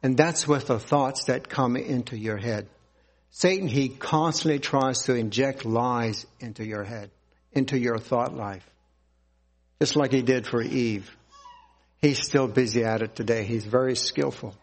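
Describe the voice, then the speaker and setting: A man preaching a sermon, reading scripture aloud and explaining it in a deliberate, earnest way. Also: mid-range voice.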